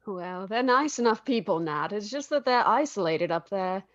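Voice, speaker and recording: An actress playing an anxious, gentle but chiding wife and mother in a radio drama, with a nervy, pleading manner. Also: mid-range voice, animated, normal speed, no room sound, dull audio, lightly acted. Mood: worried.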